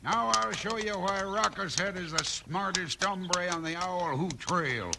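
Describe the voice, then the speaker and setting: An actor playing a ruthless, boastful western gang boss with an American accent in a 1950s radio drama, with a swaggering, bullying manner. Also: male voice, sits high, a little slow, creaky. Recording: noisy old radio recording, long reverb.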